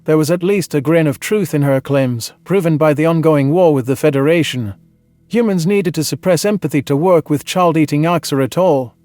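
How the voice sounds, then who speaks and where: Higher-voiced man narrating, dry close sound. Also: moderate pace, darkish tone, no room sound. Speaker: audiobook narrator. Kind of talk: scripted first-person science fiction narration, dramatized.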